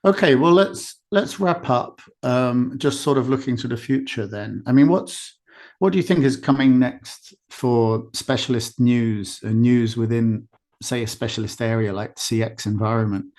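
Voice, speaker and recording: A man's voice: mid-range voice, normal speed, darkish tone. A man hosting an interview podcast in a conversational style, feeling his way through loosely built, rambling sentences. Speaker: podcast host.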